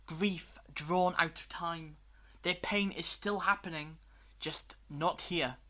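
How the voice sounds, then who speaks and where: An actor playing a grave, knowing time traveller in an audio drama, speaking in a measured, portentous way. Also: medium pitch, fairly even intonation, fairly bright tone. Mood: grave, explaining.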